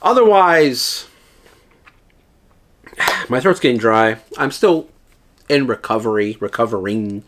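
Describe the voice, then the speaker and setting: A man's voice: medium pitch, animated, slow pace, long pauses, a bit bright. Nasal, rambling man giving vlog advice. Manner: rambling, many fillers and restarts. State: nasal and dry-throated from illness. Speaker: reseller YouTuber. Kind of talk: casual vlog advice to viewers.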